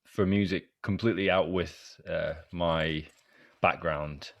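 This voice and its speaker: Male podcast host from Scotland, low register, loose chatty delivery with tentative, halting phrasing. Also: steady tone, creaky, muffled recording. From Edinburgh, Scotland. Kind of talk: casual chat.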